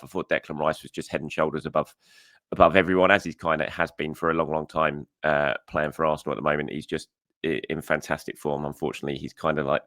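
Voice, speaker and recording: A man hosting a football reaction show in frank, chatty solo commentary, his voice croaky, often repeating words for emphasis. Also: extremely low pitch, a bit bright, no room sound, clean studio sound.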